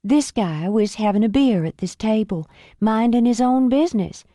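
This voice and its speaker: Storybook charmer with a slight Southern drawl, smooth and unhurried read by a woman. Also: on the high side, warm tone, dry recording, pitched above the performer's own voice, natural voice. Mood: matter-of-fact.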